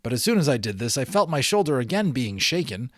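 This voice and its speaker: Animated man reading a storybook aloud. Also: medium pitch, fast talker, dry recording, studio quality. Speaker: audiobook reader. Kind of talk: storybook read-aloud narration with explanatory asides.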